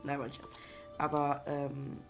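A man's voice: on the high side, monotone, unhurried, gravelly, music in the background. A young man with a cold, rambling in casual let's-play commentary with many fillers and restarts. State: has a cold. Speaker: gaming YouTuber.

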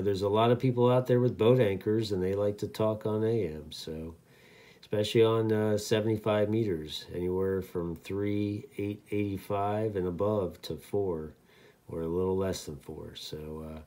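A man with a low, mellow voice gives a casual hands-on demonstration. He rambles along in an easygoing way, often halting as he finds the next detail. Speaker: amateur radio hobbyist demonstrating. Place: indoors at a radio desk.

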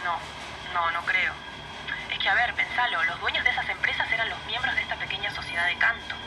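An actress with a Rioplatense accent playing a businesslike, cautious woman researcher, speaking in a hurried way over a phone call in an audio drama. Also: mid-range voice. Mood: serious, cautious. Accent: Rioplatense Spanish. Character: Cristina, brisk, cautious woman researcher friend. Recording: phone call with clear ambient noise.

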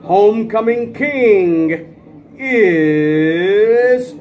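High-pitched, animated American male PA announcer. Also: very slow, lo-fi sound. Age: middle-aged. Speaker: high school football PA announcer. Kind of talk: live stadium PA announcing. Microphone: handheld dynamic microphone. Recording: crowd noise behind outdoor announcing. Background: crowd.

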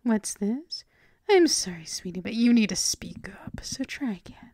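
A woman voicing a seductive, dominant vampire mistress in a breathy, teasing, mostly whispered ASMR roleplay. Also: a little high, expressive, dark tone, no echo, clean studio sound. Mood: teasing, stern. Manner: breathy, slow, teasing, coaxing. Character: seductive, dominant vampire mistress doting on her pet thrall.

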